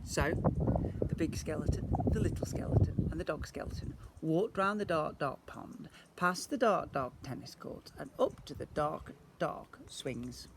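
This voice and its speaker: A man reads a children's story like a teacher to a young class. His delivery is theatrical and very varied in pitch, with deliberate pauses and faint noise on the recording. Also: expressive, gravelly. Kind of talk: expressive children's storybook reading.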